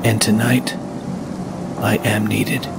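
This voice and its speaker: Man voicing a low, brooding masked vigilante. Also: little pitch movement, creaky, heavy background noise. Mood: brooding, menacing. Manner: grave, deliberate, terse delivery. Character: brooding masked male vigilante haunting a crime-ridden city. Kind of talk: dramatic noir narration in an audio drama. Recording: loud music with rain and thunder behind. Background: loud cinematic music, rain, thunder.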